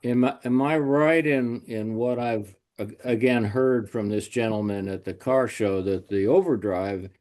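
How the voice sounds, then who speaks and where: A man asking casual questions in a tentative, halting way on a group conference call. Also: medium pitch, a little mellow.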